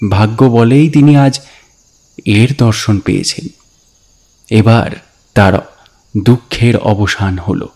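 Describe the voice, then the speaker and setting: A man narrating a scripted story dramatically, in a deliberate, expressive storytelling manner. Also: fairly low pitch. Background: background music.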